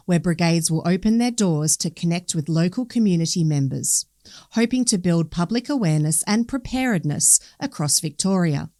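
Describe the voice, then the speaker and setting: A woman with an Australian accent narrates a written news article. Her voice sits a little low, and the recording is very dry, with no room sound. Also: warm tone, no room sound. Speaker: newspaper story reader.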